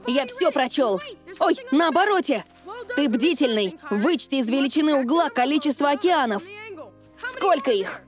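A young cartoon schoolchild, grumbling yet game. The voice is high and childlike, with faint music behind. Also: female voice, lively intonation, normal speed. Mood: focused. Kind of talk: cartoon dub acting.

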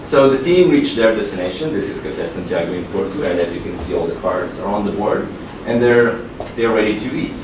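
A man's voice: fairly low pitch, lively intonation, brisk, few pauses. A man presenting at a tech meetup, explaining steadily. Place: large meeting room with projector. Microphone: far room mic. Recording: echoey room from far off, noisy.

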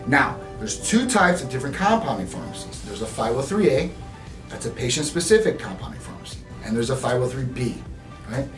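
A man's voice: medium pitch, big pitch swings, a little fast. A man in his forties gives a direct-to-camera explainer as a clinic spokesman, emphatic and tagging his points with "right?". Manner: emphatic, repeats "right?" often. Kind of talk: direct-to-camera educational talk. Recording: roomy, distant, faint music bed.

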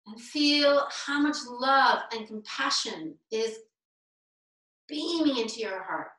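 Woman, meditation teacher, slow spaced-out phrases, clear bright tone, distant roomy video-call sound. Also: a little high, animated. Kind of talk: guided meditation.

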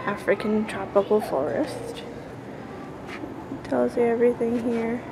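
A woman's voice: fairly high pitch, unhurried, vocal fry. A young woman casually narrating a walking tour of an indoor museum, pointing out exhibits as she goes, on a handheld camera mic. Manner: relaxed, pointing things out as she walks. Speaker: video tour host. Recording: noisy handheld camera audio.

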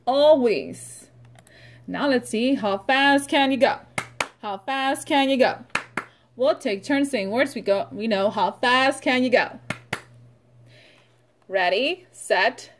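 A woman teaches young children in a read-aloud and vocabulary lesson. She goes very slowly, using short, simple phrases and leaving pauses. The lesson sounds replayed from a computer. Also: on the high side. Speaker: preschool teacher.

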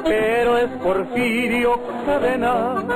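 A man's voice: very high-pitched, little pitch movement, takes it slowly. A man singing a corrido as the theme of a radio serial. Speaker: ballad singer. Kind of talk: sung Mexican corrido theme. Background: accordion music.

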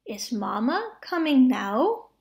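Lady reading picture books to little kids, really slow, voice goes up and down a lot. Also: high voice, gravelly. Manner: expressive, measured phrasing with playful sound words. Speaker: read-aloud narrator of children's picture books. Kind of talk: storybook read-aloud for preschoolers.

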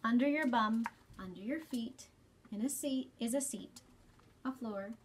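A woman in her thirties hosting a children's storytime, explaining gently and deliberately in a slightly breathy voice. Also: a little high, slight background noise. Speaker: children's storytime host at a library. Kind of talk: children's storytime reading and talk. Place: small library space, indoors.

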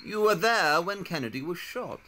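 A man playing an intense, clever detective in an audio drama, speaking with theatrical, deliberate self-assurance. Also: sits high, animated, noisy background. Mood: probing.

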